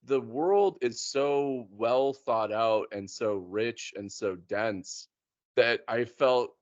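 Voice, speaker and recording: A man in a casual, informal chat, thinking aloud in halting phrases. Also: mid-range voice, dry recording, lo-fi sound.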